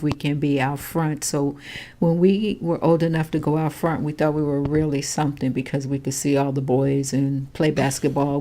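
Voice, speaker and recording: Low-voiced older woman recalling her childhood. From Chicago, Illinois. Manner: calm, matter-of-fact storytelling. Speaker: interviewee, grandmother. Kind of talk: oral history interview.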